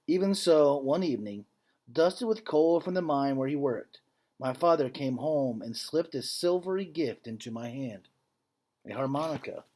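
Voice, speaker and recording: A man reading a picture book aloud like a teacher to students, with measured phrasing and casual explanatory asides. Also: fairly high pitch, lively intonation, a little mellow.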